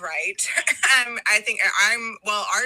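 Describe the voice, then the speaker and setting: A woman hosting a recorded podcast interview, asking polite, friendly questions that gently guide her guest. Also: medium pitch, slow pace, poor audio. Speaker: podcast host.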